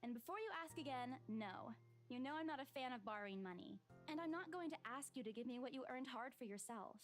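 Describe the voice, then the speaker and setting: A woman voice-acting an earnest, proud young woman in a visual novel, gently scolding like a worried friend. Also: sits high, lively intonation, fast. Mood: firm, grateful. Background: game music.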